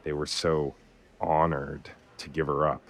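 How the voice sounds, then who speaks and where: Deep male voice, actor for a weary, consoling man in an audio drama; halting, sincere, natural delivery. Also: little pitch movement, full character voice. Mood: bitter, reflective. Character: weary, consoling man grieving a lost sister.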